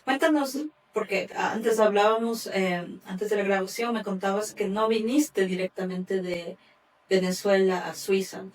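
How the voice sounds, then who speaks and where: A woman's voice: mid-range voice, steady tone, measured pace. Attentive woman host off-mic. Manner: attentive, frequent brief affirmations. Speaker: podcast host. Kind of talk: interview. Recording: distant mic.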